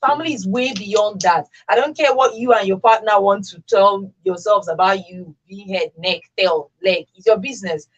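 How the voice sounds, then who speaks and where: A woman with a Nigerian accent speaks as a radio talk show host. Her voice has a slightly husky edge at a middling pitch. She is emphatic and opinionated, and often repeats a phrase to press her point. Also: moderate pace, few pauses, highs cut off.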